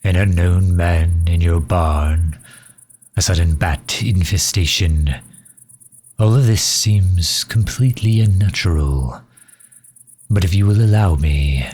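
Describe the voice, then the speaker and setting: A man acting a very deep, slow, weary vampire. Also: a little mellow, breathy edge, exaggerated voice. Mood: earnest, pleading. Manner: formal, measured, pleading, archaic wording. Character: courteous, weary male vampire refugee leader. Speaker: voice actor in audio roleplay. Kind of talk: scripted ASMR roleplay acting.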